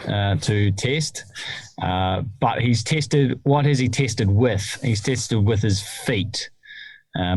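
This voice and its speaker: A man's voice: mid-range voice, darkish tone, no echo. A man co-hosting a podcast, talking things through hesitantly with lots of fillers. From New Zealand. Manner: hesitant, many fillers, thinks aloud. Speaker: podcast co-host, rules explainer. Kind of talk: casual chat. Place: small hut at home, cold. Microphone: remote call recording.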